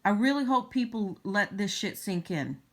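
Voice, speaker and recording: A woman vlogger giving unscripted political commentary in a halting, emphatic way. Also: medium pitch, lively intonation.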